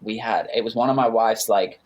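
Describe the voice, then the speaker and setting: A young British-raised man with a deep, low voice talks casually as a podcast guest. He rambles along loosely, padding his sentences with fillers and correcting himself as he goes. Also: brisk, darkish tone. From United Kingdom. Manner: rambling, laid-back, lots of fillers and self-corrections. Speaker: guest, music producer. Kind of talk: casual interview chat.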